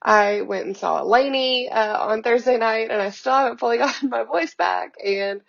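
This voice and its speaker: A young woman hosting a casual podcast, her voice a little hoarse, talking in an upbeat, chatty way with many fillers, recorded from a hotel room. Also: fairly high pitch, moderate pace, muffled recording. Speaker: podcast host. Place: hotel room, sitting on a bed.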